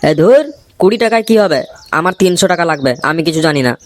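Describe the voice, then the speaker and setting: A woman's voice: fairly low pitch, expressive, fast talker, noisy background, close to an everyday voice. A woman voicing a brash, whiny village boy in a comic cartoon, demanding and insolent. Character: brash, whiny village lad begging for money.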